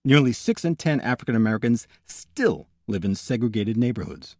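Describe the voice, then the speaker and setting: A man's voice: mid-range voice, animated, moderate pace, heavy background noise. A man reading scripted documentary narration in a serious, emphatic manner. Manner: serious, emphatic list-making. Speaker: narrator and host.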